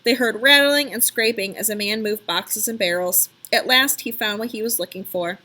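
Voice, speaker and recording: Bright-voiced woman reading a story aloud. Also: mid-range voice, normal speed. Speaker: read-aloud narrator. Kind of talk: expressive children's story narration.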